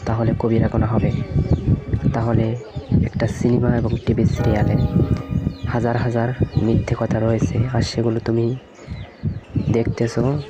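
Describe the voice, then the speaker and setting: Man voicing a stern, preachy uncle, even-toned. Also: mid-range voice, highs cut off, lightly acted. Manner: didactic, questioning. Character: preachy uncle admonishing his nephew, stern and pious. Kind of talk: scripted religious cartoon dialogue and preaching. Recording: music behind the voice.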